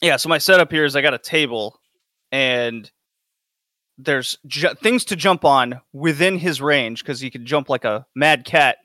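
A man hosting a casual podcast chat, rambling quickly and laughing often. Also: medium pitch, pushed and bright, no echo, studio quality. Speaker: podcast host.

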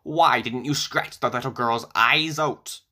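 A man's voice: fairly high pitch, unhurried, sharp tone. Man playing a screeching, furious old witch. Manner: screaming, shrieking, demanding. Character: Baba Yaga, cruel enraged old witch.

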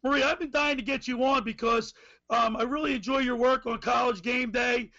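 A man hosting a sports talk radio show, with a raspy voice and a folksy, rambling way of asking questions. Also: extremely high pitch, fairly even intonation, a little fast, pushed and bright, no room sound, lo-fi sound.

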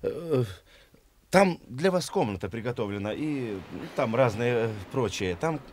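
An actor playing a shy, awkward, plain-spoken man in a radio play, halting and fumbling for words. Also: mid-range voice, animated, a little mellow.

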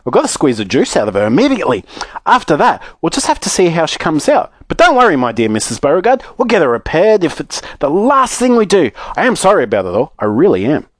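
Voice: mid-range voice, huge pitch swings, quick pace, no echo. A storyteller playing an eccentric male confectioner, an anxious, fussy inventor who sighs and apologises. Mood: brisk reassurance. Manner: sighing, fussy.

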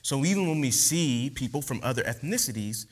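A man's voice: medium pitch, fairly quick, breathy edge. A man giving a casual, slangy sermon after an opening prayer, talking with many fillers. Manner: relaxed, slangy, animated teaching with many fillers. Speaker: preacher. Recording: long hall reverb.

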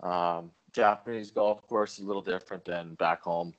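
A man with a very low voice talks casually over a video call. He tells his stories in an unhurried, easygoing way and laughs often. He comes from Canada and is the guest here. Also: dull audio. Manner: relaxed storytelling, laughs often. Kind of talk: casual chat. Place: home in rural Japan.

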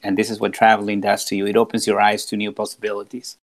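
A man's voice: on the low side, completely flat delivery, fast, slight background noise. Man, 40s, from Peru, podcast guest, earnest, reflective, restarts phrases. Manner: earnest, reflective, frequent restarts and repeated words. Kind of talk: casual podcast conversation.

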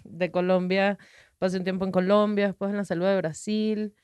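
Venezuelan woman, 30s, podcast guest, loose storytelling voice, mid pitch, dry close sound. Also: moderate pace, clean tone, no echo. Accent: Venezuelan Spanish. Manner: relaxed, rambling storyteller who laughs easily. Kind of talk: casual conversational interview.

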